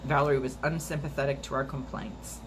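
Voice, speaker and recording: A woman reading a memoir aloud for a storytime video at a steady, measured pace, now and then stumbling and restarting a word. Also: very deep voice, background noise. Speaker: storytime reader.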